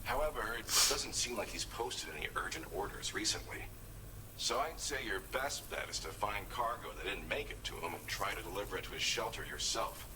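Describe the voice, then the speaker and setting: An actor voicing a male video game contact who briefs the player on gear in a low, measured voice. He lays things out calmly and explains step by step. Clear background noise sits under the game audio. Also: natural voice. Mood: explanatory. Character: male game character briefing over a radio link. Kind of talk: scripted video game dialogue.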